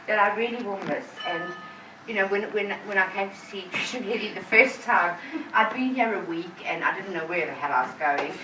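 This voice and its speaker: A woman giving a relaxed, slightly tipsy farewell speech at a party. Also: mid-range voice, a little fast. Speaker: departing colleague thanking guests. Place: indoor function venue at a party. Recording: echoey room from far off, noisy.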